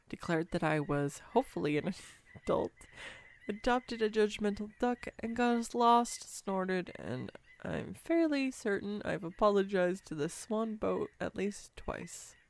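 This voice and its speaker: A woman's voice: lowish voice, a little mellow. A woman playing a clumsy, sweetly self-deprecating young woman on a date, in an intimate, earnest romantic audio roleplay.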